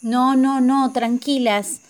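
An actress playing a gentle, dreamy young woman in love in a radio drama, soft-spoken, with an Argentine accent. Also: high voice, steady tone, slow pace. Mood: calm, gentle.

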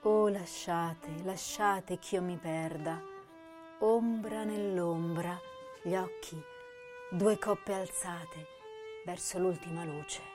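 Slow, intense young poetess over violin. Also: female voice, fairly low pitch, fairly even intonation. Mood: pleading, surrendering. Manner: lyrical, broken into short breath-long phrases. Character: intense, hypersensitive young woman poet voicing her own verse. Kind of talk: theatrical poetry recital in a radio drama. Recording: violin music behind the voice.

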